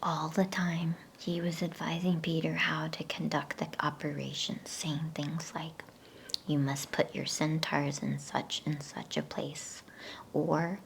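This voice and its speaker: A woman speaking in a breathy, soothing, unhurried whisper, as in an ASMR bedtime reading. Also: deep voice, level tone, a little hiss or hum. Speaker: ASMR bedtime story reader. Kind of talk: whispered ASMR reading aloud of a children's novel.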